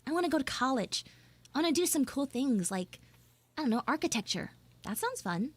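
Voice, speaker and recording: A woman's voice: high-pitched, sing-song, brisk. A woman playing a prickly, defensive schoolgirl in a live audio roleplay, stammering and dramatic as she grumbles and pleads. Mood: defensive, exasperated.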